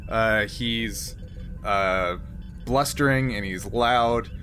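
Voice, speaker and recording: A man's voice: fairly low pitch, very slow, bright, forceful tone, a little hiss or hum. A man working as game master, narrating and refereeing a tabletop game in an improvised, explanatory way. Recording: music behind the voice. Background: music.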